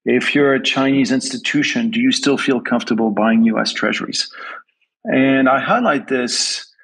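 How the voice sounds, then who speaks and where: A man's voice: mid-range voice, normal speed, fairly bright tone, husky, poor audio. A man from France with a slight French accent, answering interview questions thoughtfully and often repeating words as he thinks aloud. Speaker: guest investment strategist.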